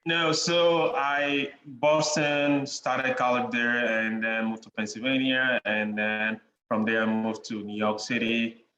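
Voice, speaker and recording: A young man with a Ghanaian accent, a podcast guest chatting in a relaxed way, with many "you know" fillers and frequent chuckles. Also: mid-range voice, slow. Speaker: guest, real estate investor. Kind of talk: casual interview conversation. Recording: dull lo-fi sound in an echoey room with faint noise.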